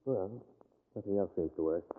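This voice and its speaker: An actor with an American accent playing a wry, weary, streetwise husband and amateur sleuth in 1940s radio drama style. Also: male voice, sits low, normal speed, phone-quality sound, lightly acted. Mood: probing. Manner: wry, slangy, exasperated. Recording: thin, band-limited 1940s radio recording.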